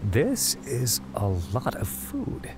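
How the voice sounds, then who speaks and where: An actor playing a kind, earnest young adventurer who reassures others in an audio drama. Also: male voice, mid-range voice, wildly swooping pitch, slow pace, noisy background. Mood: reassuring.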